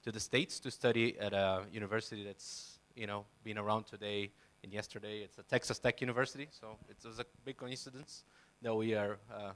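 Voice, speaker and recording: A man in his forties introduces himself on a conference panel. His voice sits low and barely moves in pitch. He speaks haltingly into a handheld microphone. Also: fairly even intonation, fairly bright tone. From Brazil. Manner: hesitant, many fillers and restarts. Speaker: panelist, software company CEO. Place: conference stage.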